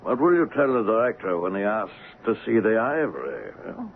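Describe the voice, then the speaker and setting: An actor playing an insolent, cynical assistant at a jungle trading post in a radio drama, needling and sarcastic. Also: male voice, fairly low pitch, sing-song, fairly quick, creaky. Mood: mocking. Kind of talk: 1970s radio drama acting. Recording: muffled, noisy old radio broadcast.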